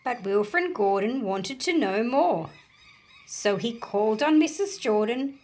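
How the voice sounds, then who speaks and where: An Australian woman hosting a children's read-aloud, telling stories and explaining them in simple, measured phrases with questions to her listeners. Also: on the high side, animated, a little mellow.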